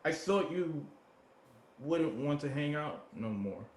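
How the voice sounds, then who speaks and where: A man's voice: fairly high pitch, expressive, slow, roomy sound, slight background noise. An actor playing an anxious, childlike young homeless man who stammers and repeats himself, in a stage play reading. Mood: pleading, agitated.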